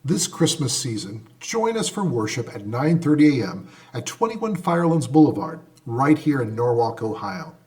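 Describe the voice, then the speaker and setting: A man's voice: mid-range voice, very animated, normal speed, hardly any pauses, darkish tone. A man with an American accent, a pastor, reading a scripted radio announcement.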